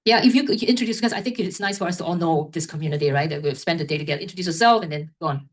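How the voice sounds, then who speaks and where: A woman's voice: deep voice, rapid-fire. A woman moderating an academic event's discussion, rambling and often checking in with "right?". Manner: rambling, tags remarks with "right?".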